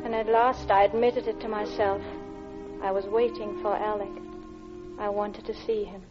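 An actress playing an anxious, guilt-ridden middle-class wife in a classic radio drama, with a polite, hesitant, confessional manner. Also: female voice, mid-range voice, flat delivery, moderate pace, lightly acted. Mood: wistful, confessional. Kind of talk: classic radio drama acting, with intimate narration. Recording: dull old radio recording with music.

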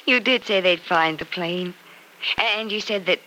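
A woman's voice: lowish voice, moderate pace, pushed and bright, low-quality recording. An actress playing a sweet, good-natured woman with an American accent in a classic radio drama. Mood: relieved, playful. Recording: old radio recording, faint noise.

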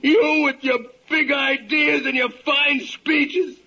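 An actor playing a bitter, grief-stricken working-class ambulance driver in an old radio drama, with rough, slangy, halting and emotional speech. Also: male voice, very high voice, level tone, pushed and bright, exaggerated voice. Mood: grieving. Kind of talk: 1940s radio drama acting. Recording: muffled old-time radio recording, faint music.

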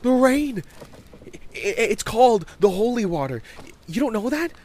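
Man putting on an eager high-voiced young boy. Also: sing-song, moderate pace, a little mellow, heavily acted. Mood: proud, eager. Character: eager, proud young boy raised in a cult.

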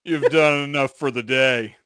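Gruff macho biker boss, played by a male reader: booming, slow, comic audiobook tough guy, dry close sound. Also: steady tone, a bit bright, no echo, natural voice. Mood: casual, commanding.

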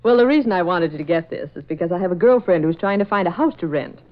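An actress playing a practical, businesslike newspaper secretary, chatty and brisk, in 1940s radio style. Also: female voice, on the low side, expressive, lightly acted. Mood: friendly. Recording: dull lo-fi old radio recording.

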